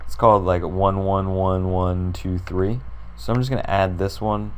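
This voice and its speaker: A hoarse young man with a sore throat casually talking through a screen-recorded design tutorial, rambling and thinking aloud with many fillers. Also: deep voice, little pitch movement, moderate pace, darkish tone, a little hiss or hum. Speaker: tutorial creator.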